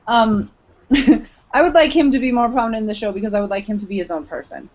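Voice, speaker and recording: A woman's voice: a little high, lively intonation, fast, darkish tone. A woman co-hosting a podcast in casual, playful and teasing banter. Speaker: podcast co-host. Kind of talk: casual banter about anime. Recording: dull lo-fi audio.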